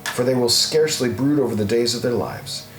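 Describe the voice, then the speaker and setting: Guy reading bible audiobook, solemn and measured, mid pitch, echoey room w music under it. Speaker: audiobook narrator. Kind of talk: scripted scripture reading. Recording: roomy echo, music underneath.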